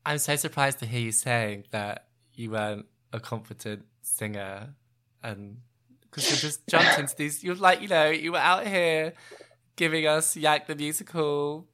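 A bright, forward voice at a middle pitch, belonging to a chatty interviewer. The delivery is eager and enthusiastic throughout. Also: unhurried. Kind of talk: conversational interview.

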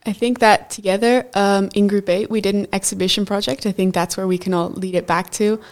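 A woman's voice: mid-range voice, fairly even intonation, fairly quick. A teenage girl from the Netherlands, answering questions as a student guest in an interview. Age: teens.

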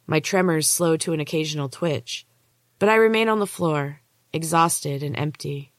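Female audiobook narrator, lower register, close dry recording, measured suspenseful first-person storytelling. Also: dry recording. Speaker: author and podcast host reading own book.

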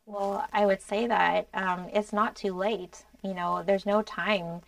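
A woman co-hosting a podcast, explaining earnestly in a casual way, with many pauses and trailing phrases. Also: medium pitch, fairly even intonation, vocal fry, no echo, distant mic, rough recording. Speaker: podcast co-host.

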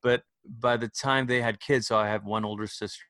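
A man's voice: fairly low pitch, steady tone, fairly quick, pushed and bright, clean tone, dry recording, studio quality. A man from Malaysia, a church leader, talking casually and reflectively as an interview guest on a video call, often adding "you know".

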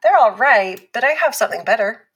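An actress playing a calm, patient abuse survivor who explains things in a measured, instructive way in a scripted fiction podcast. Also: female voice, a little high, husky.

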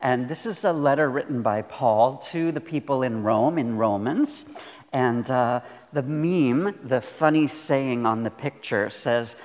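A man preaching a sermon as a pastor, earnest and deliberate, repeating phrases for emphasis. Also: mid-range voice, darkish tone. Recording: long hall reverb.

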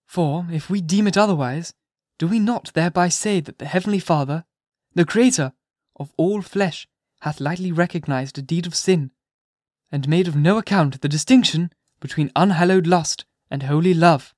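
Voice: mid-range voice, normal speed, a little mellow, dry recording, studio quality, voice pushed up, lightly acted. A reader voicing a careworn young minister who speaks sweetly, tremulous yet powerful. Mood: earnest.

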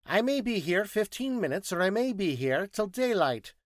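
A man's voice: high-pitched, big pitch swings, normal speed, no echo, clean studio sound, voice pushed up. A man voicing a curt, petulant, exacting scientist detective in an audiobook story, speaking precisely and didactically. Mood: grave, commanding.

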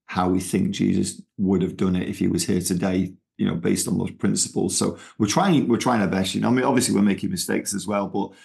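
A man's voice: low-pitched, steady tone, a little fast, vocal fry, hardly any pauses, darkish tone. A middle-aged man from England with a Manchester accent, talking as a guest in an interview, rambling with lots of fillers and restarts. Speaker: ministry founder, guest. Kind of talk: conversational interview.

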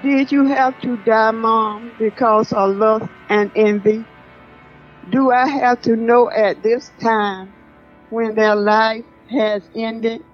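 Older grandmother, played by a woman, reflective and grieving. Slow, high-pitched audio drama read, muffled sound. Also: steady tone, soft background music. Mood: mournful, reverent. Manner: slow, solemn, deliberate. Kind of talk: audio drama acting, diary poem recitation.

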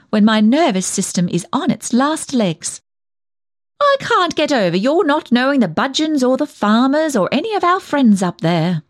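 A woman's voice: mid-range voice, dry recording, studio quality, close to an everyday voice. A woman playing a gossipy, self-righteous village dressmaker in a comic monologue, chatty, nosy, opinionated and tactless as she rambles from one thing to the next. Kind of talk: comic dramatic monologue, audio storytelling.

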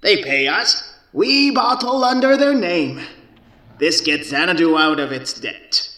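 A man's voice: a little high, expressive, measured pace, sharp tone, echoey room, recorded from a distance. A man voicing an old, scheming general presenting to a council, self-assured and dismissive. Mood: confident pitch. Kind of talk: audio drama acting.

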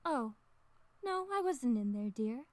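A woman plays a possessed wife with a fairly high voice. She coos softly and sweetly, eerie and seductive, in classic radio horror style. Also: expressive, a little slow. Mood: cooing seduction. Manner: cooing, soft, beguiling. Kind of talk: old-time radio horror acting.

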